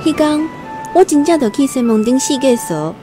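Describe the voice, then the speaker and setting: A woman's voice: high voice, lively intonation, moderate pace, mellow, soft background music. An actress with a Taiwanese accent playing a timid, earnest young country girl new to the city in a radio drama. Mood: wide-eyed wonder. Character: timid nineteen-year-old country girl new in Taipei. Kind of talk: Taiwanese radio drama, first-person storytelling and dialogue.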